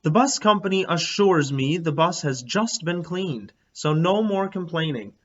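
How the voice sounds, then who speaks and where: A man voicing a stern, brisk woman school principal who makes authoritative announcements to children in a storybook. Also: fairly high pitch, animated, a bit bright, dull audio, pitched above the performer's own voice. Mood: firm, announcing.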